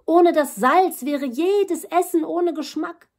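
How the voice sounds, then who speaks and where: A woman reading a storybook as a cheeky, clever shepherd boy, lively, emphatic and incredulous. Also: extremely high pitch, slow, pitched above the performer's own voice, heavily acted.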